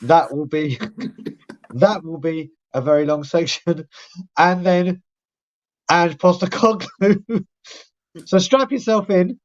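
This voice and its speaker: Croaky man hosting a podcast. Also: high voice, takes it slowly, pauses often. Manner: chatty, rambling shout-outs. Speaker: fan podcast host. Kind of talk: live fan podcast chat. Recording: dull lo-fi audio.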